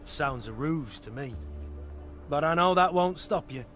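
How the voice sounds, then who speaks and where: A man's voice: a little high, lively intonation, unhurried, a bit bright, faint music behind. A man playing a blunt, frank, loyal war companion in a fantasy video game, speaking colloquially. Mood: frank, sympathetic.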